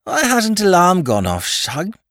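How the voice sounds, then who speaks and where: A jolly, drunk young aristocrat, slurring. His pitch jumps high and swoops all over as he rambles. The recording is close and dry. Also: wildly swooping pitch, a little slow, a bit bright, no room sound, heavily acted. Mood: indignant.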